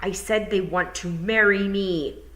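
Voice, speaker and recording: A woman reading aloud and voicing a determined, quick-witted young girl in an audiobook. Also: deep voice, animated, reverberant. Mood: insistent. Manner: bright, insistent, rising to exasperation.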